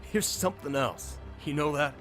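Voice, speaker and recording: A man playing a cynical, prickly young man in an audio drama, gruff and sardonic. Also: on the high side, moderate pace, a bit bright, lightly acted. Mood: sardonic, guarded. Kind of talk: fan audio drama acting. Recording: faint music behind the voice.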